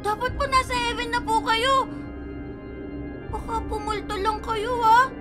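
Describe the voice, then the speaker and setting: A woman's voice: extremely high pitch, little pitch movement, unhurried, over music. A woman voicing a curious, polite little village boy in a radio drama. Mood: uneasy. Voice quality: childlike, polite.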